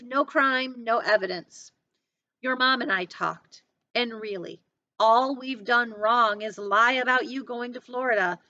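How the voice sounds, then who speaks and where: Woman reading a measured, earnest, protective father. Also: fairly high pitch, pushed and bright, no echo. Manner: measured, persuasive. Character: sad, earnest, protective middle-aged father, reasoning firmly. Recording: dull, lo-fi sound.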